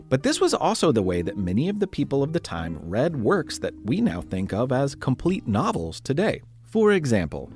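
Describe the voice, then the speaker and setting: A man's voice: mid-range voice, a little mellow. A man narrating scripted history as a podcast host, in a playful, conversational storytelling way with dramatic emphasis.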